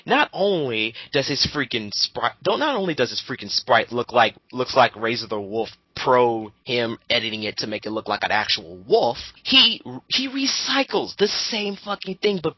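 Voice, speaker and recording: A man's voice: medium pitch, sing-song, moderate pace, hardly any pauses, pushed and bright, slightly rough, dry recording, rough recording. A man giving casual group commentary in a blunt, exasperated way with plenty of swearing.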